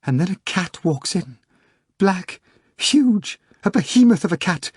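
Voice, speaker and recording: A woman's voice: very animated, takes it slowly, darkish tone, breathy edge, no room sound, studio quality, full character voice. A man voicing a hysterical young female secretary who wails through her tears in a slightly nasal, breathless way. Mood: agitated. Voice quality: a little nasal from crying. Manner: shrill, frantic, breathless wailing. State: crying. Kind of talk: audiobook character voice.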